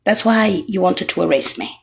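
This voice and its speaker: A woman talking alone in a rambling, profane rant that jumps between topics and breaks into sudden shouts. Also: on the low side, lively intonation, moderate pace, creaky, a little hiss or hum. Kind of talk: rambling solo monologue.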